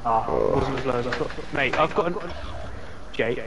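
A man chatting as a teammate in a shooter game, easygoing and quick to apologise. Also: medium pitch, a crowd in the background. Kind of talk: casual gameplay chat.

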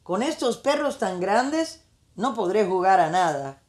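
A young female bulldog from a storybook, voiced by a woman reader, insecure and curious. She speaks slowly, with a tender self-doubt. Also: medium pitch, very animated, a little mellow. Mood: dejected.

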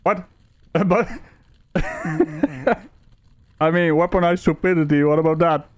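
A man with a notably high voice gives comedic reaction commentary. He is sarcastic and plays up his outrage, swearing as he goes. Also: fairly even intonation, measured pace, lo-fi sound.

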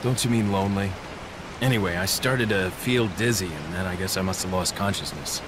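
An actor playing a calm, determined young government agent in a video game, speaking in a cool, terse way. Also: male voice, low voice, fairly quick, noisy background, natural voice. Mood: cool, composed. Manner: cool, terse, matter-of-fact. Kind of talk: video game cutscene acting.

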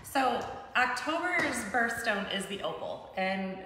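A woman's voice: fairly high pitch, big pitch swings, slow pace. A middle-aged woman explaining things as an interview guest, recorded a few feet away indoors. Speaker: guest, sales and marketing director. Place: large wood-paneled room indoors. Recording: very echoey room, faint background noise.

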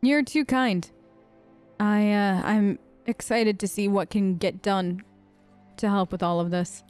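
A woman's voice: medium pitch, fairly even intonation, slow pace, darkish tone, clean tone, faint music behind. A woman hosting a let's play, improvising in-character replies and musing commentary in a conversational way.

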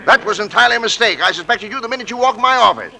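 Creaky, pompous, outraged businessman. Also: very high-pitched, very fast, bright, forceful tone, full character voice. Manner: blustering, imperious, sputtering. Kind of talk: 1940s radio acting. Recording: noisy old 1940s radio broadcast.

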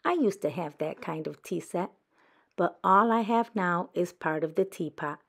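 An earnest little girl badger in a storybook, acted out by a woman reader. The voice is fairly low and a bit gravelly, with a trusting, plain-spoken manner. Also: moderate pace. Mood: earnest, wistful. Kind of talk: children's picture book read-aloud.

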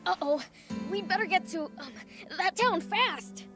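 A woman's voice: very high-pitched, lively intonation, measured pace. A woman voicing a brash, cocky young demon boy prince in a video game cutscene. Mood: impatient. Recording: loud music behind.

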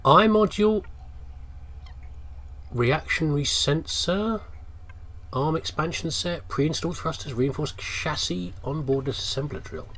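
A man's voice: on the high side, a little slow, long pauses, fairly bright tone. A man with a British accent giving casual, chatty game commentary, playfully muttering asides as he thinks aloud. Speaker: gamer doing a let's play. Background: video game ambience.

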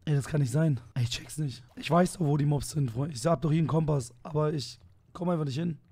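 A hoarse young man streaming a game on a headset, chatting along excitedly as he plays. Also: a little high, fast talker. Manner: excitable, thinking aloud, swearing at surprises. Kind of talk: casual gaming commentary. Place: small room at a desk.

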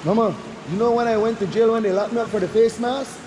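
A playful man working as a cave tour guide, teasing guests in repetitive, joking patter. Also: fairly even intonation. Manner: playful, teasing, repeats himself, often addresses people as Mama. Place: inside a dark river cave on the water. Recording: noisy echoing cave, rushing water rumble.

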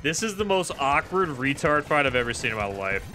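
Young dude streaming a retro game, bright voice, cussing at it, faint game music behind. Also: male voice, mid-range voice, very animated, a little fast, poor audio. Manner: frustrated, swearing, laid-back. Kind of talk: casual live gameplay commentary.

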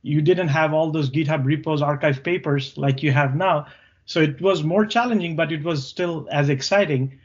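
A man's voice: a little high, moderate pace, dull audio. A man around forty from Bangladesh, a native Bangla speaker with a Bangladeshi accent, answering interview questions about his career. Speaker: podcast guest, tech co-founder.

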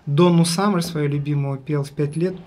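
A man with a high tenor voice, talking casually as an interview guest, haltingly and repeating his phrases for emphasis. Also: fairly even intonation, mellow. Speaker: guest, singer and vocal teacher. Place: small radio studio. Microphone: studio condenser microphone.

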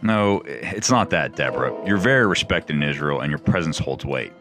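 A man's voice: very deep voice, animated, moderate pace, music in the background. A man playing a loyal, devout army commander in a biblical radio drama. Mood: hesitant.

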